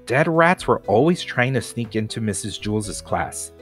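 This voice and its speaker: A man's voice: on the low side, lively intonation, unhurried, faint music behind. A man narrating a children's storybook aloud as a scripted reading. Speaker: storybook reader.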